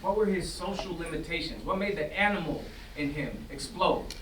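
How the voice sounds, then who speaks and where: A man's voice: fairly high pitch, expressive, natural voice. Man playing defensive teenage son, roomy distant recording. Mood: agitated, indignant. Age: young adult. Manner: colloquial, halting, repeating phrases insistently. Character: troubled, defensive teenage son pleading with his mother. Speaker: drama student acting in a staged reading. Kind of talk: staged play reading, naturalistic acting. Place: indoor staged reading before festival, distant mic. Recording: roomy, distant stage pickup, faint noise.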